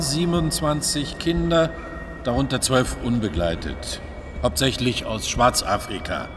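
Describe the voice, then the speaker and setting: A man's voice: medium pitch, close to an everyday voice. Actor as officious older man, echoing hall. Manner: officious, patronising. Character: self-satisfied, defensive older volunteer organiser. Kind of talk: naturalistic German radio crime drama.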